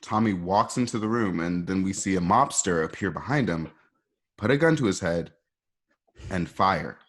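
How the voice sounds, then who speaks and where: A man narrating a radio play, reading out scene directions in a conversational way with a husky voice. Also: low voice, lively intonation, normal speed.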